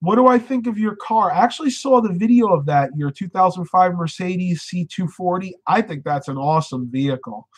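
Casting: young adult American male, slightly high voice, informal livestream host talking casually in a small bedroom. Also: animated, fairly quick, few pauses, breathy edge. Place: small furnished bedroom, indoors.

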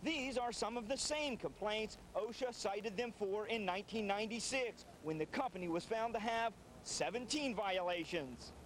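A male reporter narrating a news package with crisp delivery. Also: sits high, normal speed, fairly bright tone, a little hiss or hum, low-quality recording.

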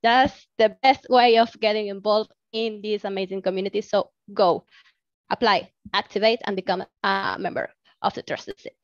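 A woman's voice: mid-range voice, fairly even intonation, normal speed, no echo. A woman in her thirties giving enthusiastic updates on a video call, with many fillers. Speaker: team member presenting updates. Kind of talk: casual meeting update. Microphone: laptop mic on video call. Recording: dull lo-fi call audio.

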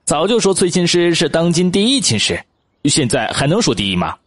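A man voicing excitable, opinionated townsfolk gossiping in an audiobook, with assertive chatter. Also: on the high side, brisk, breathy edge, full character voice. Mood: knowing, eager. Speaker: audiobook voice actor. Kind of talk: acted audiobook dialogue.

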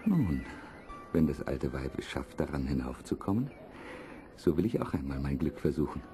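Deep-voiced earnest young prince. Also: male voice, sing-song, normal speed, music in the background, full character voice. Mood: determined. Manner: declaiming, storybook formality. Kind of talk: fairy-tale audio drama.

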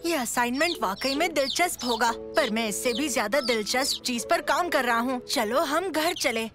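A woman's voice: high voice, expressive, normal speed. A woman voicing an earnest, enthusiastic young kid inventor in a dubbed children's cartoon. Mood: keen. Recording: loud music behind the voice.

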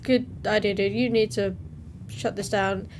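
A young woman hosts a reaction video in a casual, informal way. Her voice sits at a medium pitch. She reads text aloud and drops in her own comments, recorded indoors with some faint noise behind her. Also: steady tone, unhurried. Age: young adult. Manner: informal, interjecting between read-out captions. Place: small room at home, indoors. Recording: noticeable background noise.

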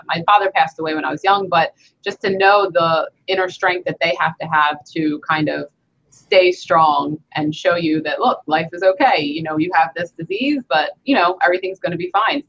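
A woman's voice: on the low side, a bit bright, lo-fi sound. A young woman hosting an interview from her home gym over a video call, chatting casually with many "you know"s. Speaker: host.

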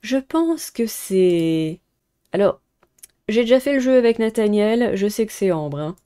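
A woman's voice: medium pitch, animated, measured pace, mellow, smooth. A young adult woman giving casual playthrough commentary and reading game dialogue aloud as a streamer.

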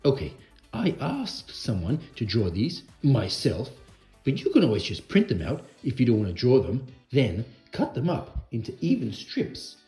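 Man voicing a boastful, mellow toy bear. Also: mid-range voice, wildly swooping pitch, moderate pace, reverberant, soft background music. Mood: cheerful, boastful. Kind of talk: children's puppet craft tutorial.

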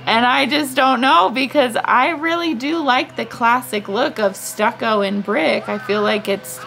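A woman's voice: a little high, unhurried, gravelly, a bit bright, faint music behind, low-quality recording. A young woman chatting to a handheld camera on her front porch as a family vlogger, rambling and thinking aloud. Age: young adult. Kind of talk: casual vlog talk to camera. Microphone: handheld camera close up.

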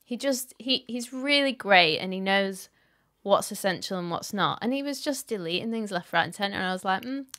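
A young woman hosting a music podcast from a home studio, upbeat and quick to laugh, on a desk mic with headphones. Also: mid-range voice, animated, sharp tone. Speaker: podcast host. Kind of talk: casual interview.

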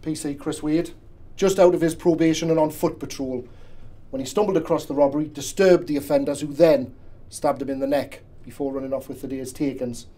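An actor playing a senior male police detective giving a measured, authoritative team briefing. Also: a little high, steady tone, warm tone, close to an everyday voice. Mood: solemn.

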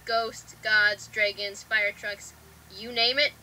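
A woman's voice: mid-range voice, flat delivery, slow, sharp tone, slight background noise, rough recording. An actress voicing a blunt, skeptical, feisty young girl in a cartoon, bossy in manner. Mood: flat skepticism.

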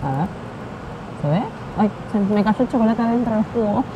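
A young adult woman with an Argentine Spanish accent, lively and casual, rating and recommending food as a travel vlogger. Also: medium pitch, normal speed, lo-fi sound. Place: outdoors on city streets and cafés. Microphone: handheld selfie camera. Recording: loud crowd and street noise.

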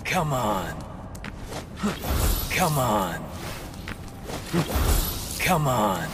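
A man's voice: on the low side, sing-song, very slow, exaggerated voice. A man voicing a brooding, poetic young demon summoner, languid, sardonic and theatrical, in a video game. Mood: sardonic taunting. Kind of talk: video game action taunts. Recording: noisy game audio with ambience.

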